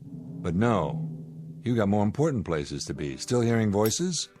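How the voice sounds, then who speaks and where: An American actor playing an irritable, sarcastic spaceship commander in a sci-fi radio drama, wry and needling. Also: male voice, fairly low pitch, sing-song, unhurried, a little mellow, faint music behind.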